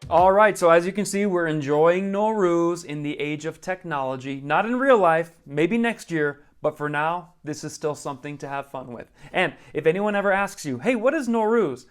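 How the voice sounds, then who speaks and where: Playful man presenter, smooth and a bit high-pitched. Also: expressive, moderate pace, few pauses, soft background music. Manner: playful, joking. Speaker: host introducing a clip. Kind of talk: casual presenting.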